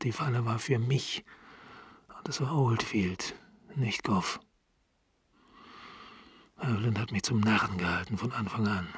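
An actor playing a dogged, self-reproaching police sergeant in a radio crime drama, with terse, clipped delivery. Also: male voice, on the low side, gravelly, fairly bright tone, husky, no echo, exaggerated voice.

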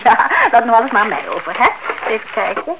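An actress playing a brisk, caring young working woman in a radio play, chatty, polite and gently bossy. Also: a little high. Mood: gently scolding. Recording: dull, noisy old radio drama recording.

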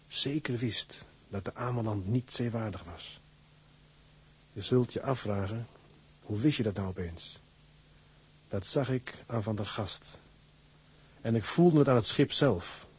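An actor playing a seaman who gravely narrates his memories of the sea in a measured radio drama style. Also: on the low side, darkish tone. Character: seaman narrator gravely recalling a terrible storm voyage.

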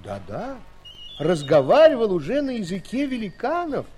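A man narrating a children's audio play as an expressive storyteller. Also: high-pitched, moderate pace, crowd noise.

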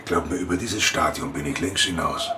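A man's voice: low-pitched, a little fast, sharp tone, slight background noise. An actor in a radio drama playing a cool, menacing man who speaks in terse threats. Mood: cold, threatening.

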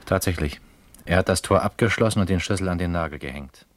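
An actor playing a thoughtful male detective in a German radio crime drama, speaking in a measured, understated way. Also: deep voice, close to an everyday voice. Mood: dry, watchful. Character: thoughtful male detective partner on night stakeout. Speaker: police colleague on a stakeout.

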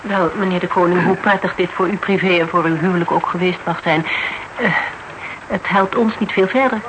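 Through clear background noise of an old radio play comes an actor as a courteous legal adviser. The phrasing is formal and circumspect, with careful hesitations. The figure stays deferential while pressing on with probing questions, in a medium-pitched voice. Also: fairly even intonation, fairly quick, natural voice. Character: polite, probing legal adviser, tactfully insistent. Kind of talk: Dutch radio drama acting, dialogue. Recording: noisy old radio broadcast recording.

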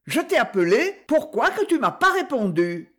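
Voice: sits high, very animated, normal speed, a bit bright, voice pushed up, full character voice. A narrator voicing a jealous, passionate young Parisian woman who pleads insistently in street slang. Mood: insistent, hurt.

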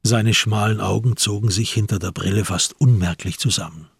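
A man narrating a literary crime story for a radio play in a husky voice, measured and grave. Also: on the low side, no room sound, clean studio sound, heavily acted. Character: sober male storyteller of a crime tale.

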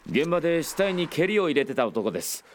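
An actor playing a matter-of-fact police officer reporting calmly in a radio drama. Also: male voice, on the high side, a little fast, slight background noise.

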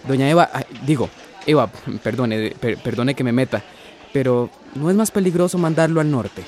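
A man's voice: mid-range voice, normal speed, darkish tone, a little hiss or hum, close to an everyday voice. An actor playing a polite, concerned young townsman in a radio drama, hesitant and courteous.

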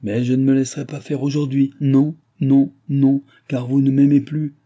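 A man reading aloud and voicing a jealous, sharp-tongued young maid who mocks and scolds. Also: medium pitch, little pitch movement, normal speed, highs cut off, voice pushed up, natural voice. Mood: mocking. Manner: mocking, scornful.

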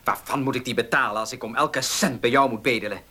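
An actor playing an earnest, proud young farmer in a radio play, husky and plain-spoken, with emotional delivery. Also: male voice, medium pitch, fast, sharp tone. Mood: indignant. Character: earnest young horse-loving farmer, proud, short of money. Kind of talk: Dutch radio play acting.

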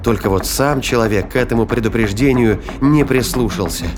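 A man reading scripted dramatic narration for an audio drama in measured, literary phrasing. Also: medium pitch, background noise. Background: music.

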